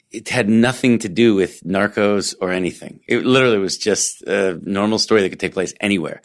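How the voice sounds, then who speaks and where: A male podcast host chatting casually, telling things in an animated way with plenty of fillers. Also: lowish voice. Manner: animated storyteller, lots of fillers. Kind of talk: casual conversation.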